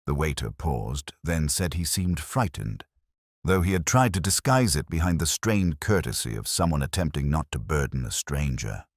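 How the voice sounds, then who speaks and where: An extremely deep male voice narrates a first-person mystery in measured, literary phrasing. The delivery is brooding yet moves through an expressive range of pitch. The sound is dry, with no room around it. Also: no echo. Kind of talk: scripted first-person detective story narration.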